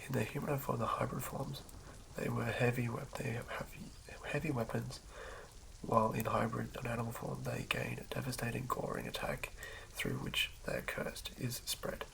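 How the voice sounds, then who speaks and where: A man doing a soothing ASMR reading of fantasy game lore in a hushed, breathy whisper, occasionally stumbling over words. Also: mid-range voice, moderate pace. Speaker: ASMR host. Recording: lo-fi recording with clear background noise.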